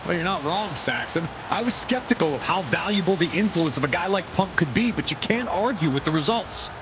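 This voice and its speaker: A man's voice: high-pitched, lively intonation, a little fast, noisy background. A man playing a wrestling color commentator in a video game, in a conversational sports-commentary style. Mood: conceding.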